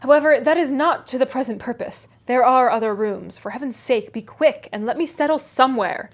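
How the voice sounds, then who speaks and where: A woman's voice: a little high, a little fast, close to an everyday voice. A woman voicing a haughty, exasperated young lady with proper, clipped speech in a storybook reading.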